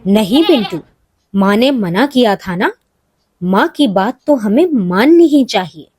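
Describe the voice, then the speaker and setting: A woman's voice: fairly high pitch, animated, a little slow, a little mellow, breathy edge, no echo, clean studio sound. A woman voicing an obedient, sensible young male goat kid in a children's story, earnest and firm. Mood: gently firm.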